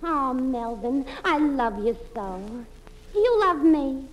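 Gushing high-voiced lovesick heiress. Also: female voice, big pitch swings, slow pace, exaggerated voice. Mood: adoring. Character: Olga, lovesick melodramatic young heiress of confession-magazine parody. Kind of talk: old-time radio comedy acting. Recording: noisy old radio broadcast recording.

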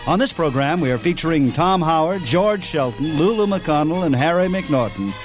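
A man's voice: a little high, lively intonation, moderate pace. A man reading a scripted introduction as host of an old-time radio program. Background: music.

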